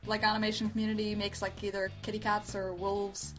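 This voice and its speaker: A woman's voice: medium pitch, completely flat delivery, fairly quick, low-quality recording. A woman co-hosting a review show, chatting casually in a rambling, informal, effusive way. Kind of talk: casual chat, review commentary. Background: background music.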